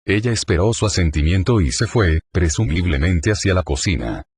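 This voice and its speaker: A man narrating a fan fiction audiobook in a steady, plain storytelling manner. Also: low voice, normal speed, slight background noise.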